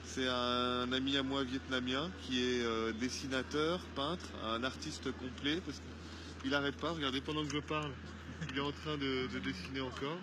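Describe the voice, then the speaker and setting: A man's voice: medium pitch, steady tone, normal speed. Chatty male interviewer out on the street. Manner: friendly, chatty, repeats himself for emphasis. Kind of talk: casual interview. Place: outdoors on a city street. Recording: traffic noise outdoors.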